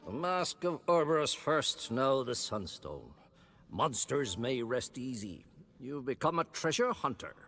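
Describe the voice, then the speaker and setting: An actor playing a grumpy, sardonic old druid in a video game cutscene, speaking in archaic, wry, disdainful phrases. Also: male voice, medium pitch, very animated, measured pace, fairly bright tone, heavily acted.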